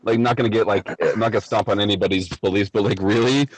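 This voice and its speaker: A man's voice: on the low side, fairly even intonation, fast talker, husky, low-quality recording. A man from the United States chatting casually as a live chat guest, laid-back, blunt and jokey.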